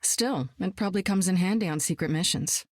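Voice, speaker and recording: A seasoned woman superspy with a cool, wry, self-assured delivery, voiced by an actress for a video game. Her voice sits a little low and is recorded close and dry, with no room around it. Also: brisk, dry recording, lightly acted. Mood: cool, teasing. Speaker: game hero.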